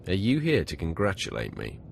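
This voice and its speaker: A man's voice: low voice, wildly swooping pitch, a little fast, vocal fry, heavily acted. An actor playing a self-assured male Sith acolyte in a video game, terse and controlled, with music underneath. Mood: cool. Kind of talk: scripted video game drama.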